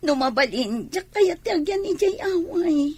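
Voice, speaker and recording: A very high, slow female voice with a Filipino accent, an actress in role. She plays a sickly young daughter in a radio drama, meek and apologetic. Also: animated, darkish tone, no echo. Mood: reluctant.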